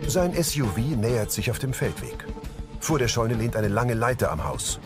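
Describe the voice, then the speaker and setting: A man's voice: medium pitch, sing-song, normal speed. A man giving scripted audio description as narrator, describing scenes in the present tense. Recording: loud music behind the narration.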